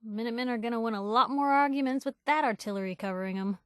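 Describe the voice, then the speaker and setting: A spunky young woman reporter, played by an actress as a video game companion, recorded close and dry. She talks in a wry, colloquial way, expressive and quick to wisecrack, with an earnest conscience underneath. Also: a little high, clean tone, no echo, close to an everyday voice. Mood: curious, bantering.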